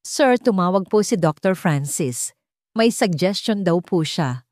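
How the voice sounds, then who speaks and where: A courteous Filipino woman executive assistant acted by a narrator in an audio drama. She sounds formal and businesslike, in a lowish, varied pitch with a dry sound. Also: lively intonation, unhurried, a little mellow, no room sound. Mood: tentative, polite. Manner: formal, professional.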